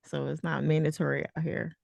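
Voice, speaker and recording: A woman's voice: sits low, level tone, fairly quick, creaky, a little mellow, no room sound. A woman hosting a casual interview, chatting in an informal, slangy way with a playful, teasing streak. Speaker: host and interviewer.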